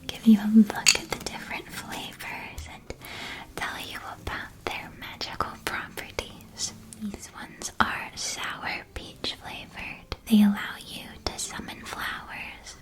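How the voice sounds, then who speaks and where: A woman playing a friendly, sweet magical candy shop keeper in a whispered ASMR roleplay, with a soft, unhurried and gently enthusiastic manner. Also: medium pitch, natural voice.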